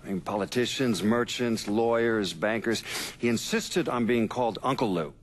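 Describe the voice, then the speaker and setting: An actor playing an American man recalling local crime history, documentary style, in a measured storytelling way. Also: medium pitch, slight background noise, close to an everyday voice. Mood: reflective. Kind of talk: documentary-style interview in a video game. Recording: music playing behind.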